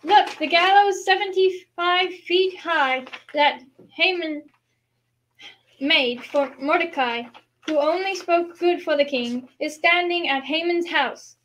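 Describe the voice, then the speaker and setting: Woman playing a high, bright-voiced royal servant. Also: slow, exaggerated voice, rough recording. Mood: formal. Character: formal royal servant bringing news. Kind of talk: amateur radio play acting. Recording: faint background noise.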